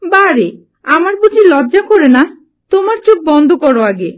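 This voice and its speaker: A woman's voice: very high voice, very animated, normal speed. A woman playing a devoted, cunning young golden fish wife in a Bengali fairy-tale cartoon, with a theatrical delivery. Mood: sweet, coaxing. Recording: faint music behind the voice.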